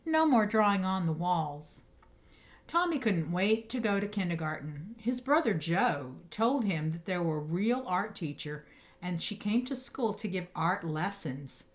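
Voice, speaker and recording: A woman reading a children's picture book aloud, with expressive phrasing and emphasized words. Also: lowish voice, a little slow. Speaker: storybook reader.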